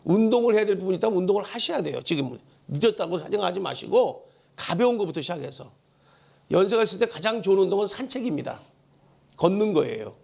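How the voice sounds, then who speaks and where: A man preaching a church sermon in a hoarse, worn voice, emphatically exhorting and repeating key phrases. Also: high-pitched, lively intonation, fast talker, a little mellow. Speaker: pastor preaching a Sunday sermon. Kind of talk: Korean church sermon with call-and-response prompts. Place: church service.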